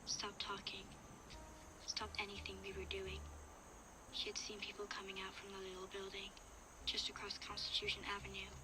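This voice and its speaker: A woman plays a young girl survivor telling her story in a game audio log. Her delivery is even and restrained, confessional and earnest, under loud background ambience. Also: mid-range voice, normal speed, background noise, natural voice. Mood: uneasy, reflective. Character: young girl survivor recounting danger, frightened but reflective. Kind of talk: first-person dramatic audio log narration.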